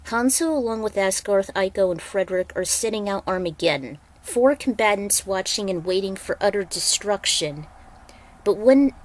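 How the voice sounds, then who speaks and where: A woman's voice: mid-range voice, rough recording. Woman narrating her own dramatic story. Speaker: fan fiction author and narrator. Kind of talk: dramatic story reading with casual author's notes.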